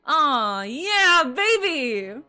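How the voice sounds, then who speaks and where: A woman streamer reading a visual novel aloud and commenting on it, excitable and gushing, often giggling. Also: high-pitched, extremely slow, soft background music. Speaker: VTuber streamer.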